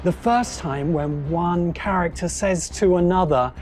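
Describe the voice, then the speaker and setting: A man narrating a scripted video essay as host, phrasing deliberately with pauses for emphasis. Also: sits high, darkish tone, faint music behind. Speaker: host, science fiction critic.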